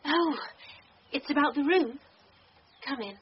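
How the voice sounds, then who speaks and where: An actress playing a dreamy teenage country daughter in a radio drama, speaking softly. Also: female voice, high voice, unhurried. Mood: polite, reserved. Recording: dull, faintly noisy radio drama recording.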